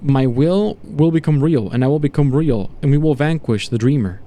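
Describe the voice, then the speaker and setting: A man playing an agitated condemned prisoner in a horror audio story, halting and emphatic as he unravels. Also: fairly high pitch, animated, warm tone, close to an everyday voice. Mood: delirious conviction. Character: condemned male prisoner unraveling from irritation into awed despair.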